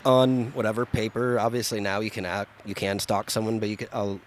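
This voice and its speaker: A man's voice: fairly low pitch, flat delivery, fairly quick, a little hiss or hum. A middle-aged man from Arizona, a chef, talking casually on a podcast in a blunt, wry way and swearing freely.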